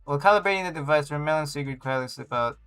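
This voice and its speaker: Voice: mid-range voice, racing, a bit bright, clear, resonant tone. A livestreamer giving casual, slangy gaming commentary and reacting aloud to the story. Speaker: game livestreamer. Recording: game music behind the voice. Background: game music.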